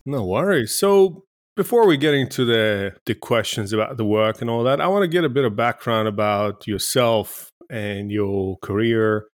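A man's voice: medium pitch, normal speed. A man hosting an interview podcast, prompting his guest with friendly questions. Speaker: podcast host.

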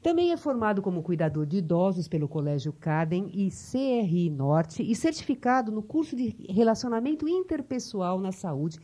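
A woman's voice: mid-range voice, very animated, moderate pace, few pauses, mellow. A woman hosting an interview, reading the guest's background and chatting with him, often tagging "né?". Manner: chatty, frequent tag "né?". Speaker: interview host.

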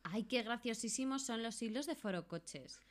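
A woman's voice: fairly high pitch, lively intonation, normal speed, close to an everyday voice. A woman from Spain with a Spanish accent playing a lazy, irritable young male law student in an amateur radio play. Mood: idle, self-satisfied.

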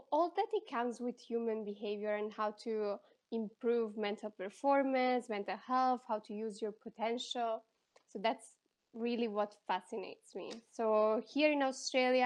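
Italian lady coach on a podcast, kinda high voice, talks fast in bursts, very expressive. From Italy. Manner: lively and expressive, quick bursts and restarts. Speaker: counselor and life coach. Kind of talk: casual interview.

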